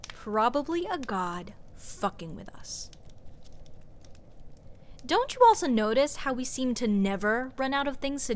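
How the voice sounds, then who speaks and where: A woman's voice: high voice, fairly bright tone, exaggerated voice. A woman playing a snarky, exasperated sorceress adventurer in a fantasy comedy audio roleplay, sarcastic and nitpicky, stressing and stretching her words. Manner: sarcastic, scoffing, emphatic with drawn-out words.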